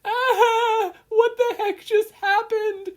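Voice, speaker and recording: A performer as a shrill, panicky teenage boy. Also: fairly even intonation, very slow, heavily acted. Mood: frantic. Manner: blubbering, screaming. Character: tearful, panicky, excitable teenage boy hero.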